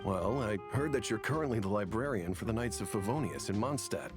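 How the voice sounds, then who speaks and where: An actor playing an earnest academy professor in a video game, measured, formal and coaxing. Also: male voice, lowish voice, lively intonation, over music, lightly acted. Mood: earnest, persuasive. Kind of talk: scripted game dialogue.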